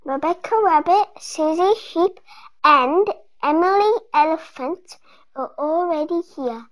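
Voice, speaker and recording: A woman reading a children's picture book aloud, with deliberate, word-by-word phrasing. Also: very high-pitched, fairly bright tone. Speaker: storybook reader. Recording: dull lo-fi home recording.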